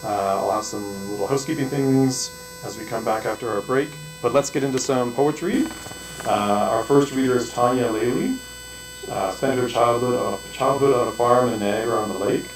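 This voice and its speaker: A man's voice: mid-range voice, moderate pace. Echoey man hosting from far off. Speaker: host of a poetry reading. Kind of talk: casual hosting, introducing readers. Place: bookstore event. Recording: very echoey distant room mic, music, hum.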